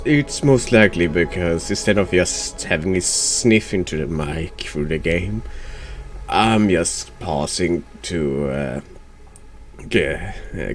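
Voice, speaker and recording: Very deep, slow man with a cold. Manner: slow, halting, drops into whispers. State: congested with a cold. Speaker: streamer and host of a horror game playthrough. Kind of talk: hushed ASMR-style game commentary and read-aloud narration.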